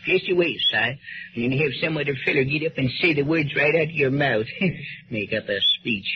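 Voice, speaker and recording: A man's voice: fairly high pitch, moderate pace, close to an everyday voice. A man playing an aggrieved, hot-headed small-town detective with a rural American accent in a folksy 1930s radio comedy. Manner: folksy, blustering, repetitive. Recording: muffled, noisy 1930s radio recording.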